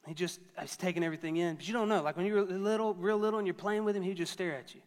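A man's voice: high-pitched, fairly even intonation, rapid-fire, long reverb tail. A man in his thirties preaching a sermon to a church, conversational and storytelling with self-deprecating humor. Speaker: pastor.

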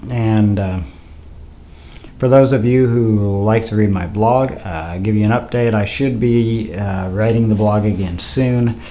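A man's voice: on the low side, unhurried, warm tone. A man hosting a faith podcast in a casual, hesitant monologue, sounding like he has a cold and is losing his voice. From Idaho, USA. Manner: hesitant, many uh fillers. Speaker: podcast host. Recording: faint wind noise behind.

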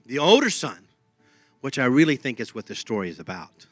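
A man's voice: mid-range voice, big pitch swings, brisk, no room sound, soft background music. A man with a Texan accent preaching a sermon in an emphatic, conversational way, often repeating his phrases. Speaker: pastor.

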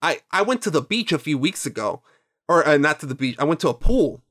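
A man's voice: fairly high pitch, very animated, fairly quick, a bit bright. A man in his twenties whose first language is Spanish, chatting casually on a podcast, rambling and swearing freely as he quotes his own thoughts. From Mexican and Nicaraguan heritage. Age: 20s. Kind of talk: casual chat.

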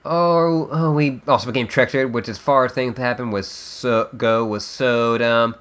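A man voicing a chatty, flippant teenage girl in a webcomic dub, with wry, drawn-out emphasis. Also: medium pitch, steady tone, fairly bright tone, lightly acted. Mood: wry, playful. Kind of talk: character dub reading of a webcomic.

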